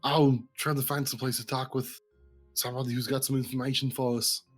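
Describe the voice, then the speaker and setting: A player speaks in character in a relaxed, chatty way during a tabletop game. The voice sits in the middle range. The delivery is easy and informal. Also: mid-range voice, brisk, soft background music. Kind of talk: casual tabletop play.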